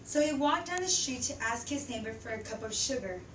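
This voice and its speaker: A teenage girl with a high voice narrates in a school theatre style. She sounds far from the microphone, with heavy room echo and faint noise. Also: animated, brisk. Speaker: student narrator. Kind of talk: theatrical storytelling on stage. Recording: echoey room, distant mic, faint noise.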